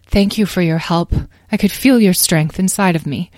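A weary but resolute mother dragon from a storybook, read by a woman. Her voice is a natural, slightly low female one with a close, dry sound, measured and serious yet caring. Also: darkish tone, no room sound, lightly acted. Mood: tender, shaken.

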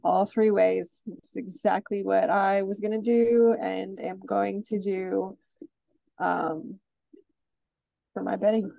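Female co-host, slow and hesitant, gravelly mellow voice, dry sound. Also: mid-range voice, little pitch movement, no echo, rough recording. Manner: hesitant, many ums. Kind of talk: casual betting talk.

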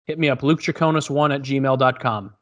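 A man joking around as a podcast guest, tossing out deadpan quips. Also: mid-range voice, a little fast. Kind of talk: casual podcast chat.

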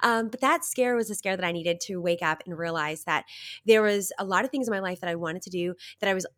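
A woman's voice: on the low side, fast talker. Upbeat woman with a slightly nasal cold. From Canada. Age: 30s. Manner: upbeat, animated, laughs often. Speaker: guest, success coach. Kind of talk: conversational storytelling.

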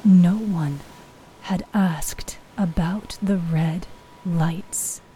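A woman's voice: on the low side, extremely slow, warm tone, slight background noise, poor audio. A woman narrating scripted fiction in a dramatic storytelling style. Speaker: author and narrator.

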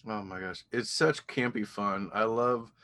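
A man's voice: on the low side, fairly even intonation, measured pace, sharp tone. A man hosting a casual interview, enthusiastic and easily amused as he talks with his guest. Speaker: podcast host, interviewer.

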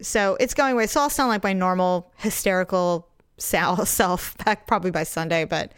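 A middle-aged woman, slightly hoarse, telling a story in casual, animated chat and laughing often. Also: on the low side, normal speed, no echo, clean studio sound. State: hoarse, recovering voice.

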